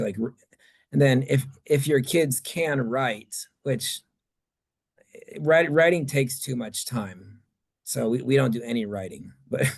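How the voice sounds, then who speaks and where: A man presenting at a conference, answering questions in a halting, think-aloud way. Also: medium pitch, gravelly, darkish tone, breathy edge.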